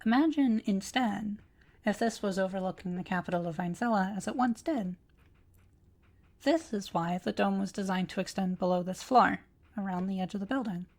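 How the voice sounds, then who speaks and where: A woman playing an ancient, courteous automaton librarian in a scripted audio roleplay, speaking formally with precise, measured diction. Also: mid-range voice, a little mellow, close to an everyday voice. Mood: calm, instructive. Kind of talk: scripted roleplay audio drama addressed to listener.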